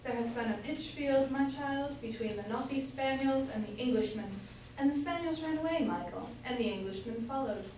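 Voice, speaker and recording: A woman's voice: sits high, moderate pace, a little mellow, lightly acted. A woman playing a doting, gossipy London mother in an amateur staged reading of an old comedy, speaking archaic English. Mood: doting, boastful. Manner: archaic English, fond addressing of her boy. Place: indoor room, staged reading. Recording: very echoey room, distant mic, faint noise.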